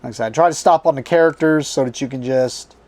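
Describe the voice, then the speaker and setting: A man hosting a casual card game unboxing, his voice strained and tiring as he reads card names aloud in short halting bursts. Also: mid-range voice, a little mellow. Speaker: board game channel host.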